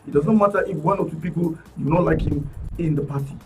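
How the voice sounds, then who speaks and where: Man, Nigerian accent, animated radio panel talk, a bit distant from the mic, faint music behind. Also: fairly high pitch, fast talker. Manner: animated, emphatic, restarts words. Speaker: political commentator guest. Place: radio studio.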